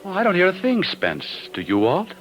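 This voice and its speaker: An actor playing a wry, cool-headed private detective in a 1950s radio crime drama, glib and coaxing with a wisecracking manner. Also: male voice, high voice, huge pitch swings, moderate pace, a bit bright, full character voice, low-quality recording. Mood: coaxing, calm. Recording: old radio recording, faint music.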